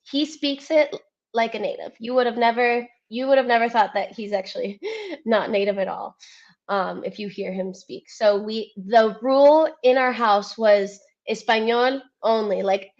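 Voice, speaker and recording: Woman in her twenties, Spanish native speaker, casual podcast chat, laughs often, gets lost for words, slightly high voice. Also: steady tone, normal speed, lo-fi sound. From United States. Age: 20s. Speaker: podcast guest.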